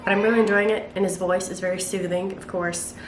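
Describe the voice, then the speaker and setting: Young Southern American woman for a home vlog; chatty, slangy, rambling delivery, ordinary mid pitch, with household noise behind her. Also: fairly even intonation, fast talker. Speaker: book and craft vlogger. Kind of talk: casual vlog chat.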